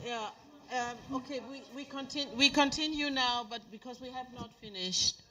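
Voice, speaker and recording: A woman moderating a panel, halting and informal. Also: high voice, bright, forceful tone, recorded from a distance, low-quality recording.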